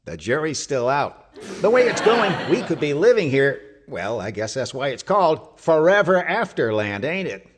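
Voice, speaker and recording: An actor playing a jovial, grumbling old husband in an old-time radio comedy, with a folksy, exclamatory manner. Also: male voice, on the high side, big pitch swings, moderate pace, background noise. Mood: grumbling, joking.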